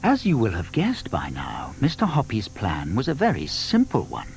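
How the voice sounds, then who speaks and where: A British man narrating a children's audio drama as a wry, measured storyteller. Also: fairly low pitch, huge pitch swings, a little hiss or hum.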